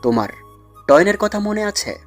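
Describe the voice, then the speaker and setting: Man acting a cunning smug mafia mastermind, animated delivery. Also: high voice, moderate pace, faint music behind. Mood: calm, secretive. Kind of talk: dramatised audio story acting.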